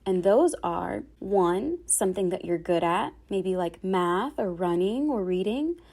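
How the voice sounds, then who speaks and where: A woman storyteller reading a picture book aloud to children, with expressive, dramatic phrasing and careful enunciation. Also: on the low side, a little slow, creaky.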